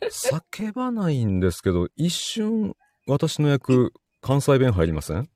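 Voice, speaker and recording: A man with a husky voice chatting politely and casually as a livestream guest, giggly and quick to agree. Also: medium pitch, wildly swooping pitch, a little mellow, no echo, studio quality. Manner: giggly, agreeable, many eager backchannels. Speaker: livestream co-performer, voice actor.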